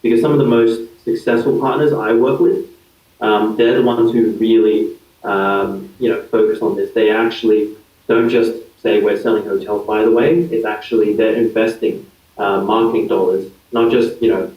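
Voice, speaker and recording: A man in his thirties with an Australian accent, explaining his work as a guest in a relaxed interview, with many fillers and restarts. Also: fairly low pitch, moderate pace. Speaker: guest, digital consultant. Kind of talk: casual interview answers. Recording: far from mic, echoey, dull lo-fi.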